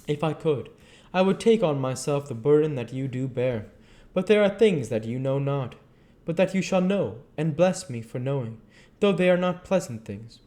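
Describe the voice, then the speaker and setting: A man's voice: a little high, lively intonation, normal speed, mellow, close to an everyday voice. A man doing a grave, fatherly old professor. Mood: pleading. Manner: earnest, pleading, old-fashioned phrasing.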